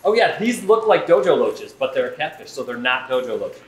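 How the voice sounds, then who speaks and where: A man's voice: medium pitch, very animated, a little fast, roomy sound. A man giving casual, chatty and enthusiastic commentary as host of a shop's unboxing video. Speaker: aquarium shop host. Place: indoors in an aquarium shop.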